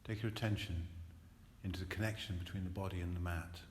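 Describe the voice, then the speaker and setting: A man with a low voice leads a guided meditation in a very reverberant room. He speaks soothingly and hypnotically, repeating phrases and leaving long pauses as a relaxation teacher would. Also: fairly even intonation. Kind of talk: guided relaxation meditation, spoken live to a group. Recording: echoey room with long reverb, faint noise.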